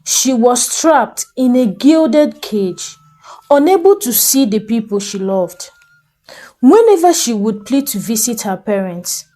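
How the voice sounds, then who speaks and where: A woman storyteller with a fairly high voice. She narrates a folktale slowly and deliberately, with lively swings of pitch and a soft, mellow tone. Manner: slow, expressive, deliberate storytelling. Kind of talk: dramatic scripted folktale narration.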